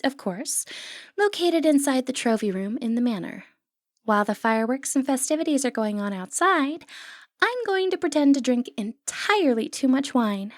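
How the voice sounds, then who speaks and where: A woman's voice: a little high, no room sound, clean studio sound. A woman acting a sly, flirtatious thief in a fantasy ASMR roleplay, with a teasing, confident, wry way of talking.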